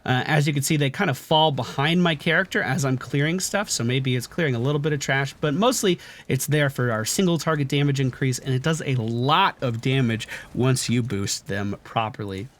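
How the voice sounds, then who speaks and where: A man hosting a gaming channel, explaining a character build over gameplay in a relaxed way with lots of uh and um. His voice is still stuffy as he recovers from losing it. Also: medium pitch, normal speed. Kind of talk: gameplay commentary over footage.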